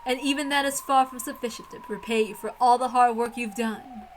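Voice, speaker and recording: A woman's voice: on the high side, fairly even intonation, fairly quick, slight background noise, natural voice. A woman voicing a mysterious, condescending witch with theatrical, formal speech for a visual novel reading. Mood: mocking pity. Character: mysterious golden butterfly witch, condescending, welcoming.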